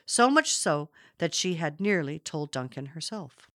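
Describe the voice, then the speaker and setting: A woman's voice: low-pitched, lively intonation, a little slow, fairly bright tone, dry recording, clean studio sound. A woman narrating a literary story from a script. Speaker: audiobook narrator.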